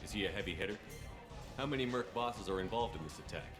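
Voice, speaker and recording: An actor playing a tough, level-headed soldier working as a mercenary in a video game, terse and direct. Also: male voice, lowish voice, animated, fast talker, natural voice. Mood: probing, cool. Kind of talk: video game dialogue acting. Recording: roomy echo, loud game music underneath.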